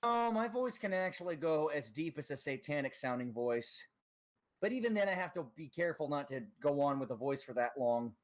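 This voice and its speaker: A man's voice: fairly high pitch, very animated, a little fast. A man chatting over voice chat as a guest on a stream, rambling and hesitant as he talks about doing voice impressions. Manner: rambling, hesitant, self-conscious. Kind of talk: casual voice chat.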